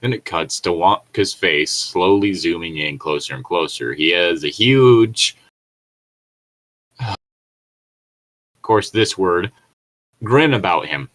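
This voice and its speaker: A low-pitched man reads a story aloud as a co-host. He slips into casual, digressive asides with an irreverent, foul-mouthed manner. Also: animated, slow pace, pauses often, fairly bright tone, poor audio. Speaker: co-host reading and commenting on stories. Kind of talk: read-along with casual joking asides.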